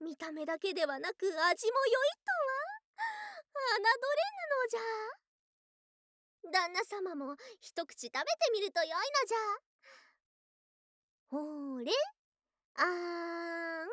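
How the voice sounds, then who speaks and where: High, girlish lamia bride. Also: slow pace, pauses often, no echo, studio quality, full character voice. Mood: delighted surprise, then playful, coy. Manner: archaic "noja" speech, coy, excitable, openly devoted. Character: affectionate female lamia snake-spirit wife, girlish yet old-fashioned. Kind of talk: Japanese ASMR situation voice drama.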